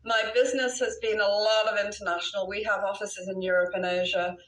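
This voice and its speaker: A woman's voice: mid-range voice, little pitch movement, brisk, fairly bright tone, echoey room, low-quality recording. An older woman from Wales with an English-sounding British accent, a real estate broker answering interview questions in a self-assured, chatty way and laughing often.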